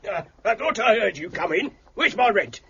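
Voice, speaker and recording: An actor playing a stern, impatient landlord in an audio drama, blunt and threatening. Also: male voice, high voice, big pitch swings, normal speed, sharp tone, slight background noise, exaggerated voice. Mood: demanding. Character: stern, impatient landlord demanding overdue rent. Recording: dull, muffled audio.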